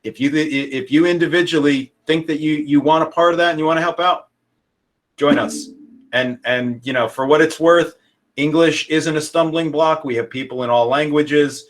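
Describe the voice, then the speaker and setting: A man's voice: fairly high pitch, fairly even intonation, normal speed. A man hosting a conference over a video call, speaking earnestly and invitingly and often repeating words as he goes. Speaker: conference host. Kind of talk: casual conference talk.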